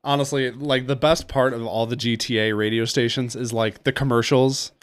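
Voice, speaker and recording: A man's voice: medium pitch, steady tone, normal speed, clean tone, dry recording. Laid-back podcast man, dry sound. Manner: laid-back, laughs often, plays along with jokes. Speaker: podcast co-host. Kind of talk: casual chat.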